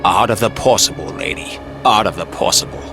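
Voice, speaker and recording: A man's voice: lowish voice, brisk, creaky. A man voicing a wisecracking, cocky mercenary hero in a video game, with a sarcastic, flippant manner. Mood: impatient. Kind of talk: video game cutscene acting. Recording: music behind the voice.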